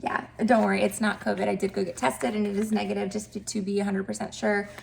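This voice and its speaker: A woman's voice: medium pitch, little pitch movement, fast talker, gravelly, slight background noise, poor audio. A woman giving a casual, upbeat tarot reading, her voice cracky and nasal from a mild cold, chatting with many fillers. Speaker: tarot reader.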